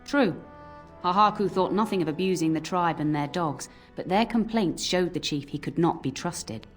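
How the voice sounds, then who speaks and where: A low-voiced old nanny from a fantasy game, acted by a woman, speaks over music. She scolds fondly and tells cautionary tales like a seasoned storyteller. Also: normal speed, natural voice. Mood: gently admonishing. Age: older. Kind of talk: scripted game voice acting, storytelling. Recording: music under the voice.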